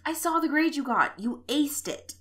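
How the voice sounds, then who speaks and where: A woman's voice: high voice, animated, moderate pace, fairly bright tone, soft background music, voice pushed up. A woman voicing an easily irritated, observant young male student, impatient and incredulous, in an audio story.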